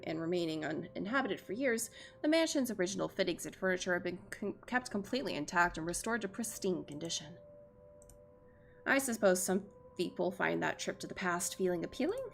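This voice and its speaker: A woman streams a visual novel, reading it aloud with very animated intonation. Her voice sits a little low with some fry in it. She drops in chatty, self-mocking asides, and music plays faintly underneath. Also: fairly quick, slight background noise. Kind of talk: reading game narration aloud with chatty reactions. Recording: music behind the voice. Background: game music.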